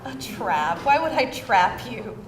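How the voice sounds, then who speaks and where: A woman's voice: medium pitch, big pitch swings, slow pace. A woman playing an exasperated, suspicious lover with a sarcastic, incredulous manner in a comedic live screenplay reading. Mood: teasing, coy. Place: indoor venue, live reading. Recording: echoey room, faint noise.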